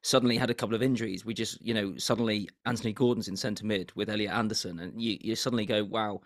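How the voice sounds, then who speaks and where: A young English man co-hosting a football podcast, talking in a measured, hesitant way with repeats, his voice strained and cracking squeaky as he loses it. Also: steady tone, no echo, clean studio sound. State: losing his voice, goes squeaky. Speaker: podcast co-host. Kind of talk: casual football chat.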